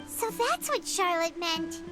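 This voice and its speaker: A woman's voice: very high-pitched, animated, takes it slowly, loud music behind the voice, full character voice. A woman voicing a cheerful, curious little childlike companion in a fantasy video game, perky and inquisitive. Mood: bright, curious. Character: cheerful small childlike female flying companion, curious and caring. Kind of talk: animated game voice acting.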